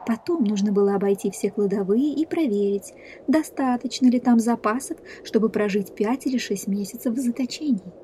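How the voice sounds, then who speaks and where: A woman narrating a scripted fairy tale in a measured, expressive storyteller's manner. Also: fairly high pitch, a little hiss or hum.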